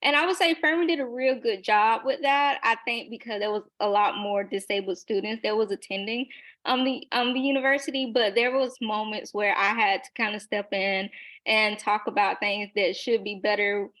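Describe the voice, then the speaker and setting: A woman's voice: mid-range voice, steady tone, moderate pace. A young woman in her twenties speaking as a panelist in a webinar, earnest and emphatic, with fillers and short laughs, over a video call. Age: young adult. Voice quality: loud voice.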